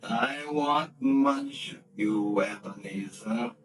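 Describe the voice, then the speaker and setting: An actor playing the solemn ghost of a dead businessman in a vintage radio play, slow, grave and hushed. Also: lowish voice. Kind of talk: vintage radio drama acting. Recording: old radio play with music. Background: music.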